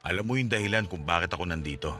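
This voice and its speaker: A man's voice: deep voice, expressive, racing, pushed and bright. A man playing a hurt, jealous estranged husband and father in a radio drama, earnest and insistent. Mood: firm. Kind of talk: Tagalog radio drama acting.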